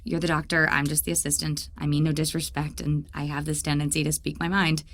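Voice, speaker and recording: An actress playing a young, outspoken and suspicious lab assistant, earnest and probing, in a naturalistic audio drama. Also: female voice, sits low, flat delivery, brisk, slight background noise. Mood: apologetic, probing. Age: young adult.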